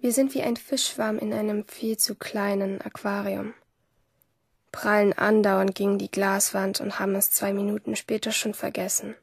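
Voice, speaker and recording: A woman's voice: medium pitch, level tone, normal speed, creaky, husky, no room sound, clean studio sound. An actress playing a moody, infatuated teenage schoolgirl, narrating inwardly and intimately in a radio play. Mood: bored, restless. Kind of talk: first-person radio play monologue.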